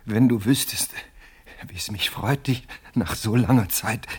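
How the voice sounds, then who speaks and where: A scared timid husband in a radio play, really hoarse-sounding lowish voice, anxious, kind of faltering. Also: male voice, unhurried. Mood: nervous, defensive. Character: timid, frightened widowed husband, pleading, defensive. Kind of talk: radio drama acting.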